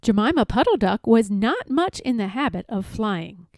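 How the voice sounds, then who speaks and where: A woman narrating a children's story from a script, expressive and careful in her phrasing. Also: a little high, moderate pace, dry recording, studio quality. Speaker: storybook reader.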